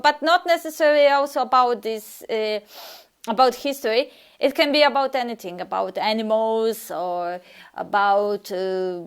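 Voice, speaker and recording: A woman's voice: fairly high pitch, a bit bright, clear, resonant tone. A woman whose first language is Serbian, giving a casual language lesson and answering a viewer, with frequent hesitations and restarts. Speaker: language teacher.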